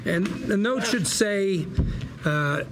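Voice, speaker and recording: An actor playing a glib, eager small-time lawyer at a table read, pitching hard in salesman patter with small hesitations. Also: expressive, slow. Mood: brisk, insistent. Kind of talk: acted dialogue at a table read. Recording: noticeable background room noise.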